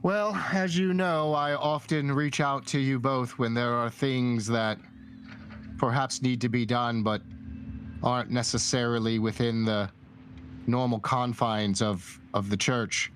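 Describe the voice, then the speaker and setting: A man playing a dying middle-aged clergyman and mentor in a tabletop game, weary and earnest, speaking in a halting, grave, formal way. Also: medium pitch, fairly even intonation, slight background noise, close to an everyday voice. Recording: music behind the voice.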